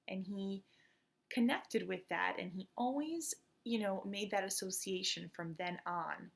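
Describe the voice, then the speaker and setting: A school principal, a woman born in the United States, talks in a relaxed, chatty way with many fillers as she introduces and reads a storybook aloud to children. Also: mid-range voice, vocal fry.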